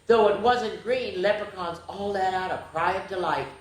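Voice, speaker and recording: A woman's voice: mid-range voice, moderate pace. A woman hosting children's story time, reading picture books expressively and playfully, with chatty asides to young listeners. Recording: very echoey room with faint noise.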